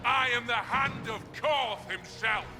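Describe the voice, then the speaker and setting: An actor playing an arrogant, belligerent barbarian warlord in a video game, declaiming bombastically. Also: male voice, very high voice, takes it slowly, bright, forceful tone, soft background music, exaggerated voice. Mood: belligerent challenge.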